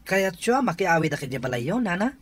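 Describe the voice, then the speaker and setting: A man's voice: high voice, very animated, brisk, dry recording. Anxious young Ilocano father. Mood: subdued, worried. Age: young adult. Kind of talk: Ilocano radio drama acting.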